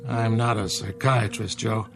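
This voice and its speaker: A man playing an elderly small-town doctor in a radio drama, measured, wordy and dryly musing. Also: fairly low pitch, fairly even intonation, gravelly, music in the background. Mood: thoughtful, wry. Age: older. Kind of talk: old-time radio drama acting.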